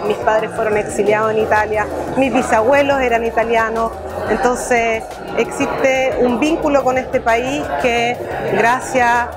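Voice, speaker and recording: A woman producer speaks deliberately into a lapel mic in a fairly high voice. She stands in a busy market hall. Loud music and crowd chatter fill the background. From Chile. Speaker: executive producer. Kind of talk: interview to camera. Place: indoor market hall, booth backdrop.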